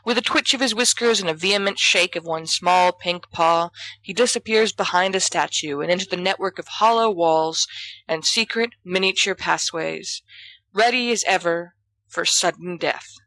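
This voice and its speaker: A woman reading fiction aloud in an expressive storytelling style. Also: fairly low pitch, moderate pace, dry recording, poor audio. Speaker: audiobook reader of fan fiction. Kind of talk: expressive scripted narration.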